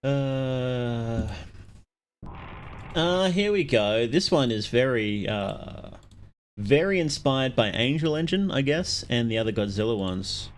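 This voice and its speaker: A man hosting a livestream, chatting casually with viewers in a rambling, halting way and often interrupting himself. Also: mid-range voice, lively intonation, slight background noise. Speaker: livestream host.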